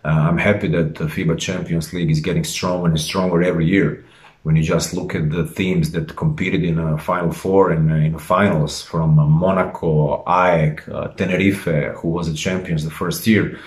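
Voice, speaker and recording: A man, a basketball head coach giving a measured, formal introductory address to fans. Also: deep voice, level tone. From Croatia. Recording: roomy echo.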